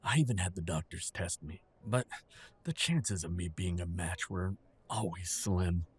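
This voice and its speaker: Man acting husky, low-voiced defensive father. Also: a little slow, heavily acted. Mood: grave, apologetic. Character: aging defensive father of a grown werewolf son. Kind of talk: audio roleplay drama acting.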